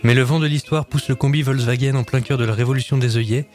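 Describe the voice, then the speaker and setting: A man co-hosting a radio music show, talking quickly and chatting with some fillers, at times reading out a synopsis. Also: mid-range voice, fairly even intonation, darkish tone. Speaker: radio co-host. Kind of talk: casual radio chat, reading a film synopsis.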